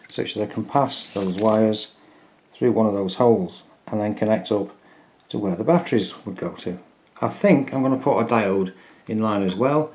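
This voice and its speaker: A man's voice: medium pitch, warm tone. A man hosting a casual DIY electronics tutorial, explaining each step in a plain-spoken, informal way. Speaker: DIY electronics video host.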